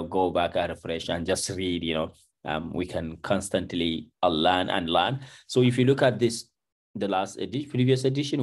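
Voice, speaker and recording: A Nigerian man in his thirties leading a book club on a video call, talking casually with lots of ums and you knows. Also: fairly low pitch. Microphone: video call webcam.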